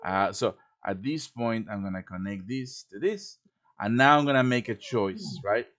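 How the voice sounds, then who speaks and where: A man with a fairly low voice hosts a casual live tutorial. He talks through each step in a chatty, unscripted way. He often tags his explanations with a quick check that listeners follow. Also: animated, a bit bright. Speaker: host teaching game quest design. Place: live stream over online voice chat.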